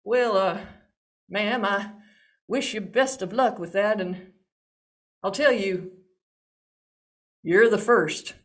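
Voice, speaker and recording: Woman playing a young country suitor, folksy drawl, exasperated, slow-spoken. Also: mid-range voice, close to an everyday voice. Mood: puzzled. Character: exasperated young man, a plain-talking suitor.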